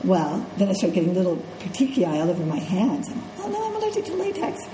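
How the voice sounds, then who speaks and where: A woman's voice: lowish voice, wildly swooping pitch, fast talker, background noise. A middle-aged woman with a congested voice, giving a conversational classroom lecture as a nursing instructor and checking in with her students as she goes. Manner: conversational, checks in with "okay?" and "right?". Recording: echoey classroom with faint ambience.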